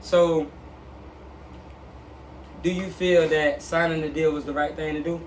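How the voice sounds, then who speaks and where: Guy asking the questions, voice a bit high, sits back from the mic. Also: echoey room. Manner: relaxed questioning with quick affirmations. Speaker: interviewer host. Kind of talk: casual interview. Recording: far from mic with faint noise.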